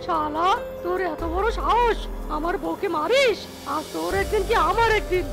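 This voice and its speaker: A woman voicing a belligerent male rival husband in a children's cartoon, shouting threats. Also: extremely high pitch, normal speed, vocal fry. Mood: furious. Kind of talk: children's cartoon story dubbing. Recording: loud jingly music behind voice.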